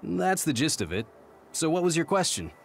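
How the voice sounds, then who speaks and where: A man's voice: on the high side, sing-song, moderate pace, creaky, slight background noise. A man playing an affable, composed military captain in an anime game, easygoing yet authoritative. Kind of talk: English anime-game voice acting. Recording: faint music behind the voice.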